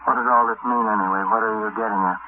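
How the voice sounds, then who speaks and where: An actor with an American accent playing a nervous, evasive suspect in a 1950s radio crime drama, hesitant and pleading. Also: male voice, on the low side, steady tone, fast, close to an everyday voice. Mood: defensive. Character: nervous, evasive male child-killer suspect, cracking under pressure. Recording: muffled, hissy old radio recording.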